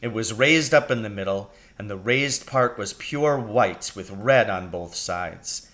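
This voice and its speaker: A man reading a children's novel aloud as an expressive storybook narrator. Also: fairly low pitch, unhurried.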